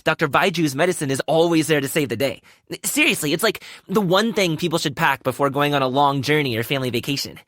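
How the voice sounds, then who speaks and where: A young, upbeat wushu dancer and courier for a video game. His pitch moves in very lively swings, with a forward, bright edge. The delivery is casual, slangy and friendly, captured in a dry, close studio sound. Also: no room sound. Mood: breezy, confident. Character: cheerful young male wushu lion dancer and delivery guard. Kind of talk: video game character voice lines.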